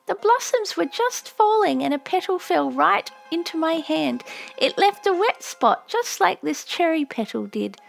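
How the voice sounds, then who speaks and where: A woman's voice: very high-pitched, expressive, a little slow, vocal fry, soft background music, pitched above the performer's own voice, exaggerated voice. A woman voicing a wistful, homesick young girl in an audiobook, earnest in manner. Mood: wistful longing. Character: wistful young American-raised Japanese girl, bravely homesick. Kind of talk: audiobook character voice.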